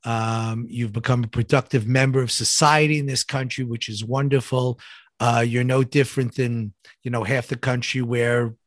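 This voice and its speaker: A man's voice: medium pitch, flat delivery, dry recording. Male host, dry close sound. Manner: effusive, halting, rephrases questions. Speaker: show host. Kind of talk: conversational interview.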